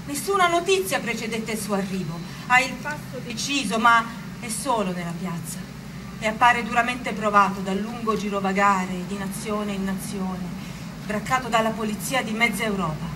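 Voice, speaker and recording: A woman's voice: mid-range voice, moderate pace, distant mic, background noise. A woman giving solemn, oratorical dramatic narration from a script.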